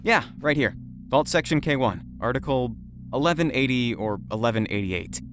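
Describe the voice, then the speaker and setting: A man's voice: mid-range voice, lively intonation, moderate pace, a bit bright, faint music behind, natural voice. A man voicing an earnest, eager younger man in a visual novel. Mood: eager, helpful.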